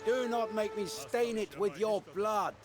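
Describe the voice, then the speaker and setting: An actor playing a proud Persian commander in a historical game, grandiose and declamatory, menacing yet courteous. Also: male voice, sits high, expressive, unhurried, music in the background. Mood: threatening courtesy. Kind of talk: dramatic historical game voice acting.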